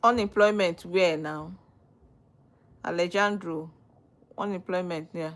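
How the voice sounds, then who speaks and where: Brief: female livestream host, Nigerian accent, slightly low voice, teasing and questioning. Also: fairly even intonation, unhurried. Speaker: livestream host, legal practitioner. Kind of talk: live chat reading viewer comments.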